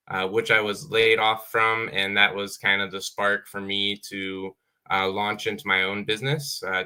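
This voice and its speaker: A man's voice: on the low side, bright, forceful tone, clear, resonant tone. A man in his thirties from Ohio, a business owner telling his story earnestly with lots of "uh" fillers on a video call. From Dayton, Ohio. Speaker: apparel business owner guest. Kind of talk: casual panel chat.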